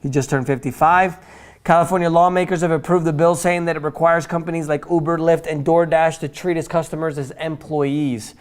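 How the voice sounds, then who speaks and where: A man's voice: on the high side, fairly even intonation, fairly quick. A man hosting a financial news roundup, reading items from notes in a chatty, informal way, with casual asides and stumbles that he corrects as he goes.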